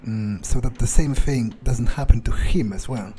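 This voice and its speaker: A man playing a wary vampire in a video game, thinking aloud in a halting, muttering way. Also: mid-range voice, warm tone, a little hiss or hum, lightly acted. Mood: musing, wary. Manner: halting, musing, muttering with hums. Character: wary male vampire muttering his thoughts while exploring. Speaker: gameplay streamer playing a character. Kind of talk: in-character roleplay commentary, thinking aloud.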